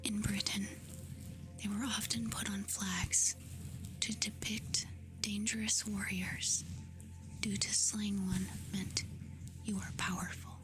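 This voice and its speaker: Whispering woman, slow and even ASMR reading. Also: medium pitch, poor audio. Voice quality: breathy whisper. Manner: slow, hushed, soothing delivery with short phrases. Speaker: ASMR creator reading facts. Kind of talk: soft-spoken ASMR, reading aloud. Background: music.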